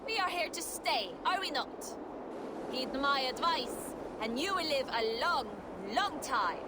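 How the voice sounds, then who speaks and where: A woman's voice: high voice, lively intonation, measured pace. A woman playing a spirited, cheeky Viking warrior who declaims her tales in a video game. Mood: instructive, bold. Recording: loud sea and boat noise.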